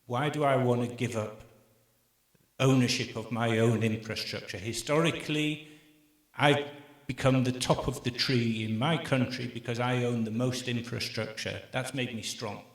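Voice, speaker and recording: An upbeat man moderates a conference panel from the stage, with quick asides to the crowd. His mid-range voice rings around a big, echoey hall. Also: medium pitch, steady tone.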